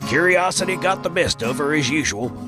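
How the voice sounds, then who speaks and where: A man narrates in a folksy, scripted storytelling style. Plucked guitar music plays clearly behind his voice, giving a comic audio-drama narration. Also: on the high side, lively intonation, fairly quick.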